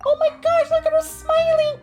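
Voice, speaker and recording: A man's voice: very high-pitched, completely flat delivery, normal speed, darkish tone. A young man giving casual let's play commentary as a gaming host, slangy and often saying bro. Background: game music.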